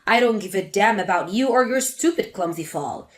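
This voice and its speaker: A woman voicing a spiteful older mother-in-law who lectures and sneers, with a lowered voice. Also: expressive, moderate pace, faint music behind, natural voice. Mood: scornful. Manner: scornful, lecturing.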